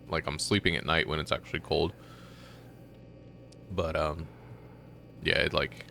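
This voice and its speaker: Very low, gravelly, nasal streamer guy. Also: a little hiss or hum. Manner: rambling, relaxed, many fillers. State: congested and just woke up. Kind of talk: casual gameplay commentary.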